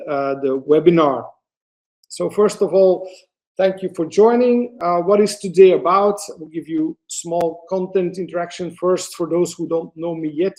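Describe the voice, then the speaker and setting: A middle-aged Belgian man speaks English as a second language, with a Dutch accent. His voice sits a little high and has a gravelly, creaky edge. He presents to an online audience through a computer mic, hesitating and restarting his sentences often. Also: darkish tone. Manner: hesitant, frequent fillers and restarts, non-native phrasing. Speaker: photographer presenting a webinar.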